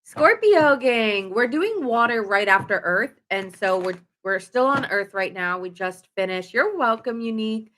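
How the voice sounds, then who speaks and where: A woman's voice: on the high side, animated, unhurried, few pauses, a bit bright. A woman doing a casual live tarot reading, chatty and rambling with fillers and self-corrections, her nose stuffy. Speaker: tarot reader.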